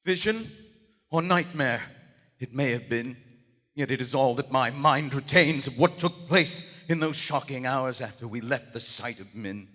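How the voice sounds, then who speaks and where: Haunted horror writer, played by a male actor: formal archaic diction, mid-pitched, live radio theater in a big reverberant hall. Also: animated, a little slow, bright, forceful tone. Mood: shaken, insistent. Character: haunted, shaken writer of weird fiction, a man. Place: large theater stage, live performance.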